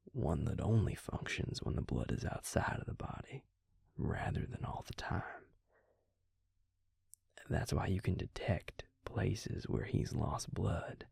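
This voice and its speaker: A man playing a calm, protective vampire in an intimate audio roleplay, speaking gently and measuredly in a breathy, gravelly near-whisper. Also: sits low, dry recording, clean studio sound, exaggerated voice. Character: calm, protective male vampire explaining lore to a werewolf. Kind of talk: intimate audio roleplay, slow hushed delivery.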